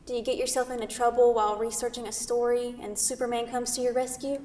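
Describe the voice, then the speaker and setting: A woman's voice: fairly high pitch, little pitch movement, quick pace, dark tone, natural voice. A woman reading the part of a brash, teasing New York City woman in a staged play, pushy and playfully sarcastic. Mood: curious, playful. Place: theatre, recorded from a distance. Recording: distant mic in echoey hall.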